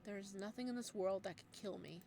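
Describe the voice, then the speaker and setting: A woman voicing a calm, solemn male ice god in a visual novel, reading his lines in a low-key, measured way with only faint game music behind. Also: vocal fry.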